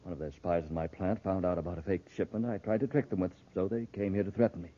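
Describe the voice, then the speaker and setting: A man's voice: low-pitched, steady tone, fast talker, warm tone. An actor playing an honest, nervous businessman, hesitant and earnest, in a 1950s radio serial. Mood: earnest, anxious. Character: nervous but honest businessman under blackmail. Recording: narrow, tinny old 1950s radio recording.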